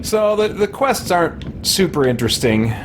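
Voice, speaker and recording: A man's voice: high voice, big pitch swings, normal speed. Man musing aloud over noisy gameplay. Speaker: gaming streamer. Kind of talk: casual let's play commentary. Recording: game sounds behind the voice. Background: video game sound.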